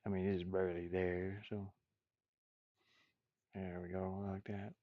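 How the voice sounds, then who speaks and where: A man's voice: low-pitched, flat delivery, measured pace, no room sound, narrow, tinny audio. A man talking casually to himself as he draws, absent-minded, with playful sing-song asides. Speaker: game artist streaming a drawing session. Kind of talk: casual muttering while drawing.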